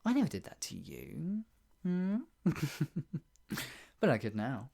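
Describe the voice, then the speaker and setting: A performer playing a possessive, sadistic yandere captor in an improvised ASMR roleplay, mocking and menacing, with a breathy voice that often drops toward a whisper. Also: medium pitch, huge pitch swings, mellow, full character voice. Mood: mocking, accusing. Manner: menacing, mocking, slow drawn-out taunts broken by laughs and sighs.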